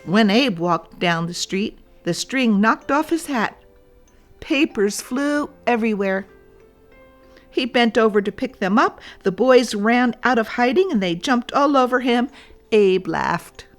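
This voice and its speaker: A woman reading a storybook aloud for children, deliberately and addressed straight to young listeners. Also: fairly high pitch, expressive, faint music behind.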